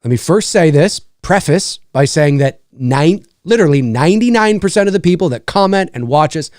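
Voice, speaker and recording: A man's voice: on the high side, normal speed, no echo, studio quality. A man hosting a casual podcast chat, rambling and swearing, often mimicking other people's voices mid-sentence. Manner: lively, profane, rambling with mock-quoted voices. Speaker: podcast host.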